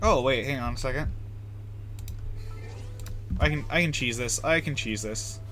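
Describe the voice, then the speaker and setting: A man's voice: medium pitch, unhurried, fairly bright tone. A man giving casual live video game commentary as a streamer, talking to himself in a self-deprecating, mildly sweary way. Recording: clear keyboard and typing noise.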